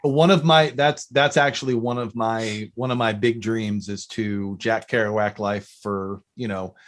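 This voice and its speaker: A man's voice: on the low side, steady tone, unhurried. A man hosting a podcast in casual chat, joking and rambling. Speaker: podcast host.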